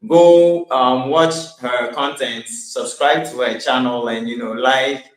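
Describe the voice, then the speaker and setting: Measured male host in an echoey room. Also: medium pitch. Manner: measured, asks follow-up questions. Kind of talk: interview hosting.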